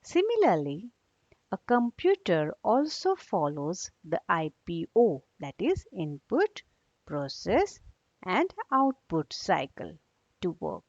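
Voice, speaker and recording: A woman's voice: fairly low pitch, wildly swooping pitch, slow, darkish tone. A woman teacher giving a read-along lesson for young children, explaining in a didactic way and checking understanding with short questions. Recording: dull, echoey room recording.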